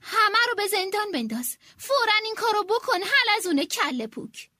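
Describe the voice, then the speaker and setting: Bossy queen bee with a shrill, lively voice, rude and demanding; a woman performs her. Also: dry recording, clean studio sound, exaggerated voice. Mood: shouting. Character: spoiled bossy queen bee, female, quick-tempered.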